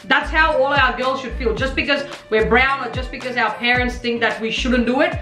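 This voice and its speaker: A woman's voice: sits high, a little fast, pushed and bright. A young woman from Sri Lanka, a guest on a casual Q&A chat, speaking in an outspoken, emphatic way and switching between Tamil and English. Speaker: guest co-host. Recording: roomy echo with background music.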